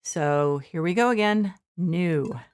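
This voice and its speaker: A woman streaming a farming game from her shared living room, rambling softly with many fillers. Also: fairly low pitch, takes it slowly, clean tone. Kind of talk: casual gameplay commentary.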